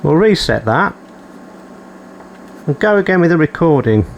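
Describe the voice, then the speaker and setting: Male repair host, higher-pitched and very animated intonation, muttering through each step over a noisy hum. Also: unhurried, lo-fi sound. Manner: muttering step-by-step, thinking aloud. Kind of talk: hands-on repair commentary. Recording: clearly noisy, steady hum behind.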